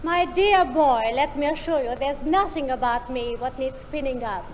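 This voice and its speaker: A woman's voice: sits high, moderate pace, close to an everyday voice. A woman playing a glamorous, vain, flirtatious film star in a stage comedy sketch, speaking in a theatrical, grandly teasing way. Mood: haughty. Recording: noisy 1950s theatre recording, long hall reverb.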